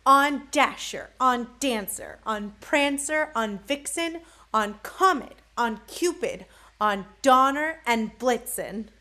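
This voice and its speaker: A woman's voice: a little high, expressive, takes it slowly, bright, forceful tone. A woman on a school staff reading a bedtime story in verse, picked up by a distant microphone.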